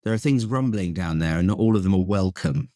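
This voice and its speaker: A man with a low voice hosts an interview in a conversational style. His phrasing is articulate and a little formal, and the recording is dry with hardly any room sound. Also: animated, a little fast, mellow, dry recording. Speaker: interview host.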